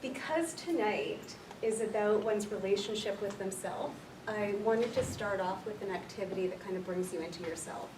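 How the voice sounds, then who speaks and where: A woman counsellor with a quiet voice leading a slow, gentle guided meditation for a workshop audience. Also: medium pitch, noisy background. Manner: soft, slow, gentle and unhurried. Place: large echoey room with an audience. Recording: echoey room recorded from far off.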